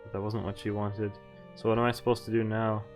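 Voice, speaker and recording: A man's voice: lowish voice, little pitch movement, a little fast. A man streaming a game, reading visual novel text aloud and commenting in a casual, sweary way. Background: faint game music.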